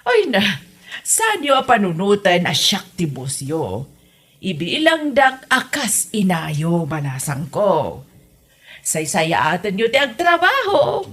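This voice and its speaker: A woman's voice: mid-range voice, huge pitch swings, slow pace, fairly bright tone, breathy edge, heavily acted. A woman with an Ilocano Filipino accent acting a generous, welcoming female poultry farm boss in a comedy audio drama. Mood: welcoming, brisk.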